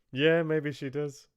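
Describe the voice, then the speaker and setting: A man's voice: fairly high pitch, no room sound, dull audio. A man hosting a live book club, chatting casually in a rambling, jokey way about stories. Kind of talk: casual chat, musing on fairy tales with live chat.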